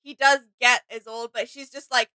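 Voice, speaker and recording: A woman's voice: a little high, steady tone, normal speed, pushed and bright, clean tone, dry recording. A woman co-hosting a reaction video, talking casually in an agreeable, slightly tentative way.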